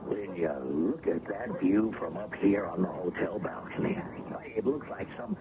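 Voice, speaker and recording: Deep-voiced, easygoing American father. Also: animated, normal speed. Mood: relaxed. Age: middle-aged. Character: easygoing widowed American businessman father, middle-aged, wistful. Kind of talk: old-time radio drama acting. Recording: very noisy, dull old radio recording.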